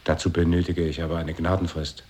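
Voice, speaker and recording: A man's voice: low voice, level tone, fairly quick, a little mellow, husky. An actor playing a terse, insistent man in an avant-garde radio play, speaking in short, repeated phrases. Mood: questioning, wary. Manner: short clipped lines, repetition.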